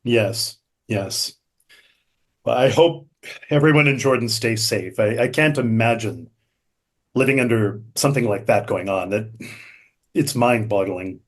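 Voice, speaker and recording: A man's voice: mid-range voice, unhurried, long pauses. A man hosting an interview over a video call, attentive and conversational, giving brief acknowledgements and asking questions. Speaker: interview host.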